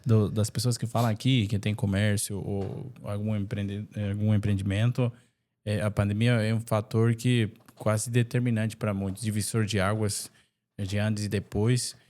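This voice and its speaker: A Brazilian man with a slightly low voice hosts a relaxed podcast conversation. His talk is informal and slangy, with a joking manner. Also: normal speed. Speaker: podcast host. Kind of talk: casual podcast chat.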